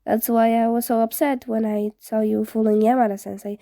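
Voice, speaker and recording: A woman's voice: on the high side, level tone, brisk, dark tone, no echo, clean studio sound, lightly acted. A woman voicing an awkward, puzzled, apologetic teenage boy in a story, hesitant and rambling in a casual way. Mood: awkward, explaining.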